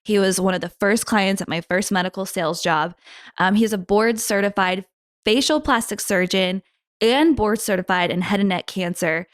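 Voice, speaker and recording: A woman's voice: medium pitch, moderate pace, no room sound, clean studio sound. A woman hosting a podcast in casual chat. Her voice is slightly nasal, and she talks in a bubbly, chatty way. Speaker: podcast host.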